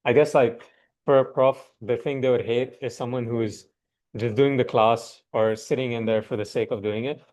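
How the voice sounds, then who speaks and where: Casual young host on a video call. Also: male voice, medium pitch, steady tone, moderate pace, a little mellow. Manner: brief agreeing replies, filler-heavy.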